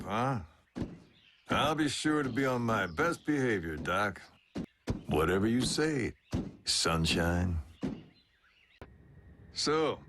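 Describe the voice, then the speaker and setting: A man voicing a cocky, roguish, battle-hardened robot warrior in an animated series, with a wry, laconic tough-guy drawl. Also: mid-range voice, slight background noise.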